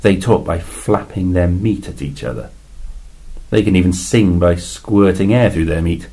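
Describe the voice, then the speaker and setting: A weary alien envoy, voiced by a man, very low-pitched with a husky, dark tone. He explains things in a dry, matter-of-fact way, with flashes of exasperated emphasis, in a science fiction story read aloud. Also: a little slow, exaggerated voice, rough recording.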